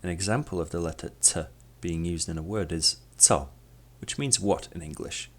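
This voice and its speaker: A young man whose first language is Polish, presenting a scripted language lesson in a measured, explanatory way and demonstrating sounds as he goes. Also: low-pitched. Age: young adult. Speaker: student presenter.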